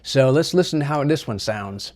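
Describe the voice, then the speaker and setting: A man presenting a software tutorial in a casual, chatty, slightly self-deprecating way. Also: mid-range voice, lively intonation, moderate pace, slight background noise.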